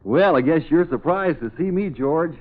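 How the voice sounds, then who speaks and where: Farmer male actor: polite country fellow, animated pitch, old thin radio sound. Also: moderate pace, lightly acted. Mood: friendly, earnest. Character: polite, folksy country farmer, cheerful and simple. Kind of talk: 1940s radio acting. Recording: tinny 1940s radio recording.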